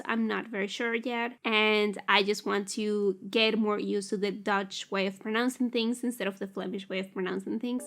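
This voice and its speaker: Casting: woman, bright higher-pitched voice, candid vlogger, chatty with casual fillers. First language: Spanish. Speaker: language-learning vlogger. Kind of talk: casual explanatory vlog.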